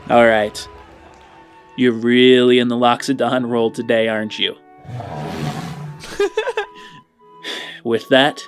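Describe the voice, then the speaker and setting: A man hosting and narrating a tabletop roleplaying podcast in a casual style. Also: mid-range voice. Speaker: host and game master. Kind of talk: casual show introduction and tabletop game narration. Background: music.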